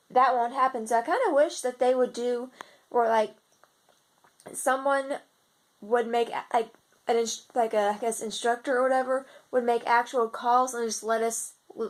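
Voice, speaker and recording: A young woman with a slightly raspy voice, chatting in a casual video diary and rambling with lots of fillers and restarts. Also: on the high side, fairly even intonation, moderate pace. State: slightly raspy from seasonal congestion. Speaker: vlogger.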